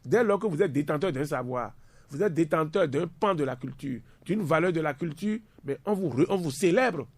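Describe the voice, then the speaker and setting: A man's voice: a little high, lively intonation, normal speed, no room sound. A man in his fifties, a university lecturer speaking as a radio guest, emphatic and fond of repeating words for stress. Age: 50s. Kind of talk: radio discussion.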